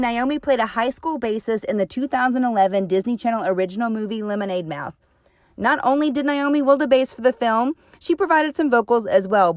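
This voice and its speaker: A woman's voice: fairly high pitch, no echo, studio quality. A woman hosting and reading scripted narration in an upbeat presenting tone. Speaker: host.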